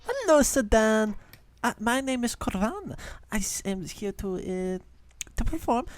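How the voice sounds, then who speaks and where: A woman's voice: fairly high pitch, very animated, unhurried, pitched above the performer's own voice. A woman voicing a polite, self-assured snake-tailed tavern performer in a tabletop game, with courteous, theatrical phrasing. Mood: courtly, polite.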